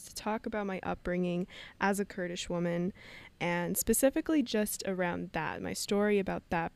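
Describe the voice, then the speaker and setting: A woman's voice: lowish voice, vocal fry, darkish tone, clean tone. A young woman hosting a solo podcast, telling a personal story in an emotional, meandering way and laughing often. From Canada. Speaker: podcast host.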